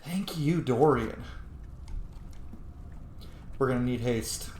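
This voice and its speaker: A man's voice: mid-range voice, slow, a little mellow. A man giving casual, chatty commentary while hosting a video game playthrough. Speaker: gamer, video host. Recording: roomy echo, faint game ambience noise.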